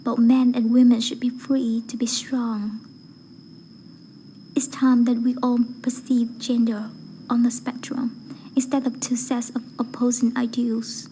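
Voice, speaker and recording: A woman with a fairly high, very mellow voice recites a prepared speech. Her delivery is careful and earnest, with very little rise and fall. Faint noise sits behind her. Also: level tone, measured pace, low-quality recording. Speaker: voice acting learner reading a speech. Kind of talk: rehearsed public speech recitation.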